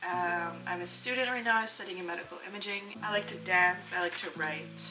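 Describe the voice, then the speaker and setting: A woman in her twenties from Saskatchewan, chatting casually as an interview guest, relaxed and thoughtful with frequent filler words. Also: fairly low pitch, a little fast, distant mic. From Saskatchewan, Canada. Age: 20s. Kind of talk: casual conversation. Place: home, indoors. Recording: echoey room, off-mic, music underneath.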